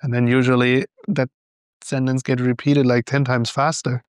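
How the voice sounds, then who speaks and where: A man's voice: medium pitch, little pitch movement, moderate pace, smooth, dry recording, clean studio sound. A young German man with a German accent, co-hosting a podcast, answering questions in a thoughtful, hesitant way and laughing often. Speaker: podcast co-host. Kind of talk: casual chat.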